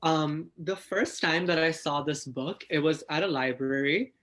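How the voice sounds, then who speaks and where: A man's voice: on the high side, unhurried, fairly bright tone. A man who is a drag artist, sharing stories in an enthusiastic, informal way. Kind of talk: picture-book reading and casual sharing.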